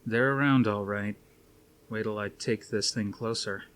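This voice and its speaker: A man voicing a calm, self-assured, weary male scientist in a storybook reading, dry and matter-of-fact. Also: on the low side, measured pace.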